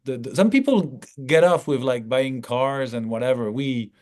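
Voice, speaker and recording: A man's voice: mid-range voice, lively intonation, moderate pace, darkish tone. A man from France, a native French speaker, answering as a filmmaker in a casual, colloquial English interview with an explanatory manner. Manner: explanatory, colloquial, acts out hypothetical remarks.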